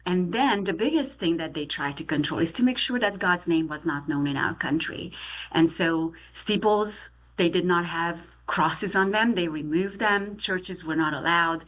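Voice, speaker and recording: Earnest Romanian-accented woman, lowish voice. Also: normal speed. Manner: earnest, steady storytelling. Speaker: guest. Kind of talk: testimony interview.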